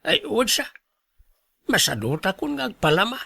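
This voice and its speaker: A man playing a stubborn, wily old father who begs for money, in an animated radio drama style. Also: high-pitched, moderate pace, gravelly, slightly rough, dry recording, clean studio sound, heavily acted. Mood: stubborn, grumbling. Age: older. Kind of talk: Ilocano radio drama acting.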